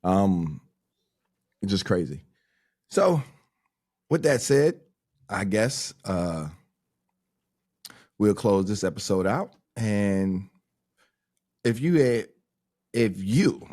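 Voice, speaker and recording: A man's voice: lowish voice, expressive, drawn-out words, pauses often, a little mellow. A man hosting a podcast, chatting casually in a loose, rambling way with lots of fillers. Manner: loose, rambling talk full of "like" and "um". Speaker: podcast host.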